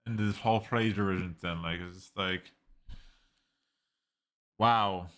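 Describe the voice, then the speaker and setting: A man talks through a software review in a loose, rambling way, piling up "like" and restarting his sentences. His voice sits low but comes across bright and forceful. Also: steady tone, slow. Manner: rambling, heavy use of "like", frequent restarts. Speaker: tech reviewer. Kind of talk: casual screen-recorded software review.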